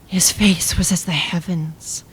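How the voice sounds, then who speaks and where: A woman's voice: on the low side, steady tone, measured pace, in a whisper, close to an everyday voice, poor audio. A woman playing a grieving, regal Egyptian queen resolved on death, in stately, impassioned classical Shakespearean verse. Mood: rapturous. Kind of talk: classical Shakespearean stage acting.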